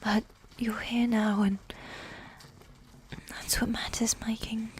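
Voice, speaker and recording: A woman playing a regal, seductive, coolly manipulative evil queen in a medieval ASMR roleplay, speaking in a soft, breathy whisper with formal, measured phrasing. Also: on the high side, little pitch movement, a little hiss or hum. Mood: tense, tender. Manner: slow, measured, formal, with long pauses.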